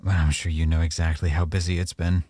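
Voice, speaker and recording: A man playing a teasing, coy young mafia boyfriend with veiled menace, in an unhurried, intimate ASMR roleplay. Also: sits low, flat delivery, mellow, no room sound. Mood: casual, teasing.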